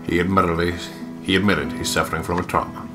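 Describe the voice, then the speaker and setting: Male let's play co-host, very low voice, casual and joking, reads aloud over loud music. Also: little pitch movement, fast. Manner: joking, sweary asides. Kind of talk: casual game commentary and read-aloud narration.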